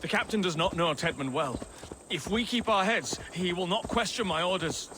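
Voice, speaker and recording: An actor playing a cowardly, wordy impostor lord in a video game, fussy and blustering with a nervous stutter. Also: male voice, high-pitched, lively intonation, moderate pace, background noise. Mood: anxious. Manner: fussy, florid wording, blustering. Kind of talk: video game character acting.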